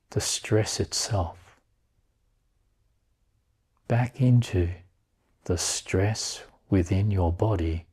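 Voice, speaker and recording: A man with a low, mellow voice leads a guided meditation. He speaks very slowly and calmly in short phrases, leaving long pauses between them. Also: slightly rough.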